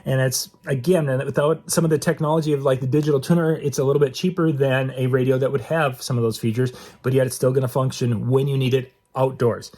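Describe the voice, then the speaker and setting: A man's voice: mid-range voice, steady tone, fast, few pauses, darkish tone. A man giving a casual, unscripted product demo in a folksy, conversational way, repeating himself for emphasis. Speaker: product reviewer, host of an outdoor gear channel. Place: inside a metal building.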